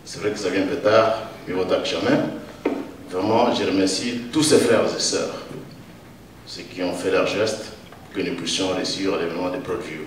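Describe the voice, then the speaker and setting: Middle-aged Congolese-accented man, low voice, halting public thank-you speech, very echoey distant recording. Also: fairly even intonation. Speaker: event speaker giving a tribute. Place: event hall with faint room noise. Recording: echoey hall, distant mic, faint noise.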